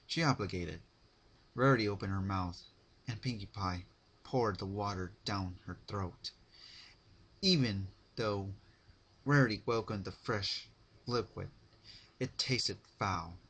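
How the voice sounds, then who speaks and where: A man's voice: on the low side, sharp tone, slightly rough. A man narrating a horror fan-fiction story as an amateur dramatic reading.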